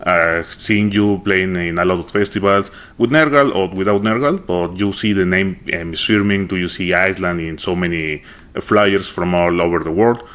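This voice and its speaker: Deep-voiced Mexican interviewer. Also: male voice, moderate pace, a bit bright. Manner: enthusiastic, many affirming yeses. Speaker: podcast host, interviewer. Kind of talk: interview questions.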